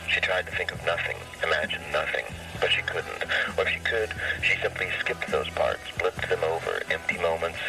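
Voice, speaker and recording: A man with an extremely deep voice reads literary fiction aloud. He sounds distant from the microphone, and music plays clearly behind him. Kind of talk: literary short story reading. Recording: distant mic, music underneath.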